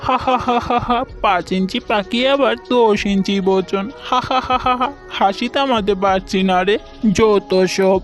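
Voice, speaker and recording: A woman's voice: mid-range voice, unhurried, soft background music, close to an everyday voice. A woman voicing a boastful, bullying lion king in a children's cartoon, blustering and exclamatory. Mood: gloating, menacing. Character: bullying, boastful lion king who turns wailing coward.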